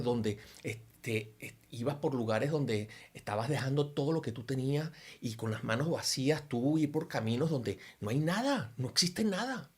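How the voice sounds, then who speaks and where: A man's voice: medium pitch, husky. A man from Venezuela with a Venezuelan Spanish accent, giving an informal, earnest Bible reflection full of rhetorical questions and restarts. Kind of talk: informal sermon-style reflection.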